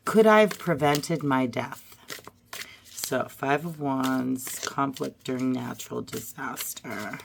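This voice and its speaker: A hoarse woman getting over a cold, musing aloud as she pulls and reads tarot and oracle cards. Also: extremely low pitch, background noise. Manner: musing aloud, drifting to soft murmurs. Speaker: tarot reader. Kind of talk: casual card-reading vlog.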